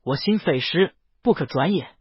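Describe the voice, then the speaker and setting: A woman's voice: low voice, animated, moderate pace, breathy edge, lo-fi sound, voice pushed down, heavily acted. A woman voicing theatrical palace figures, such as courtiers and a prince, in a fairy-tale story. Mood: wooing.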